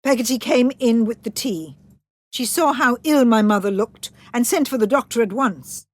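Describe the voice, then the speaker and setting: A woman's voice: a little high, lively intonation, moderate pace. A woman narrating a children's story in a scripted read-aloud style.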